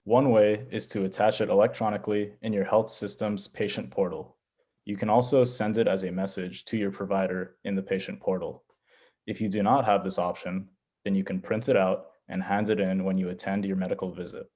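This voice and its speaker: A man's voice: low-pitched, steady tone, brisk, warm tone. A man giving scripted explanatory answers as a panelist on a health education program.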